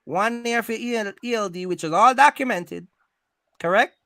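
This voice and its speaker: A man hosting an animated advisory conversation about business, speaking emphatically, repeating himself and often checking in with questions. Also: high voice, normal speed, no room sound. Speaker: host giving business advice to truck owners.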